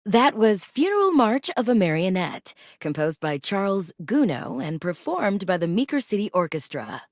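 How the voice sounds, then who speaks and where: A woman playing a poised, courteous classical public radio host in a video game, making measured, refined scripted announcements. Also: on the low side, darkish tone, no echo, studio quality.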